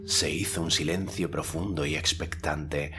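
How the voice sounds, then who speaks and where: Brief: male audiobook narrator, very deep voice, soft music underneath. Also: fairly even intonation. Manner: measured storytelling reading.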